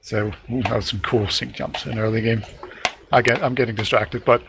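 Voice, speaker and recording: A man's voice: medium pitch, fairly even intonation, gravelly, background noise. A man casting a live online game match, talking conversationally, laughing easily and tossing questions to his partner. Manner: conversational, quick to laugh, asks co-caster questions. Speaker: esports caster. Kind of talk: casual live game commentary.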